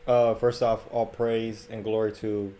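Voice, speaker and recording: A man's voice: fairly low pitch, level tone, a little slow, warm tone, slight background noise. A young man hosting a podcast in casual conversation at home. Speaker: podcast host. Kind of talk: casual chat. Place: indoors, at a home.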